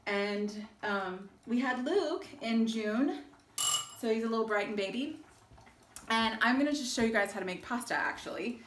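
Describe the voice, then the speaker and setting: A woman's voice: fairly high pitch, animated, moderate pace, reverberant, slight background noise. A woman in her forties from Texas, chatting casually to camera in her home kitchen with frequent ums and easy laughs. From Texas, United States. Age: 40s. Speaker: alumna sharing her story. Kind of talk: casual home video chat. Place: home kitchen in an old English house. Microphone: camera held by her husband.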